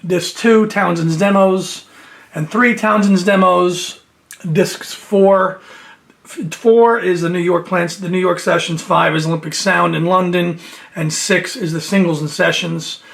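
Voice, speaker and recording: A man's voice: high-pitched, fairly even intonation, measured pace, creaky, fairly bright tone. A man casually chatting through an unboxing of a music box set, reading out liner notes with enthusiasm and sniffling from allergies. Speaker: music collector and video host. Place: indoors at home near his shelves.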